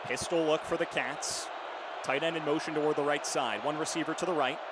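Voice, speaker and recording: A man's voice: fairly high pitch, fairly even intonation, a little fast. A man in his twenties calling a football game on radio in clipped, descriptive phrases. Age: 20s. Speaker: play-by-play football announcer. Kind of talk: live radio sports commentary. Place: live at a football stadium. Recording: loud stadium background noise. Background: stadium ambience and noise.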